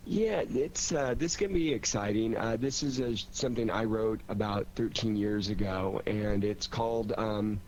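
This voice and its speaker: A man with a fairly low voice talks in a casual, conversational panel style. He sounds keen on his subject as a writer and director of radio theater. Faint steady noise sits behind his voice. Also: measured pace, hardly any pauses, low-quality recording. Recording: clear steady background noise.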